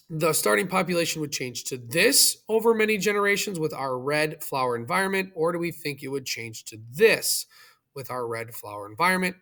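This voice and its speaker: A male teacher with a slightly high voice and a noticeable creak. He walks viewers through a review question step by step, addressing them directly as in a recorded lesson. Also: fairly bright tone.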